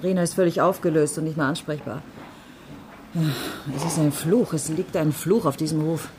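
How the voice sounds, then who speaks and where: Over audible ambient noise, an actress plays a middle-aged woman with a bitter streak in a radio play. She speaks in a wry, halting way, with the sardonic edge of a disillusioned former activist. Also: deep voice, natural voice. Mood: shaken. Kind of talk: naturalistic radio drama dialogue.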